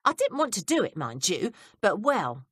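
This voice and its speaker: A woman voicing a clever, nosy young housemaid who asks eager, high-pitched questions. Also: wildly swooping pitch, normal speed, a bit bright, slightly rough, dry recording, studio quality, heavily acted. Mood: coy.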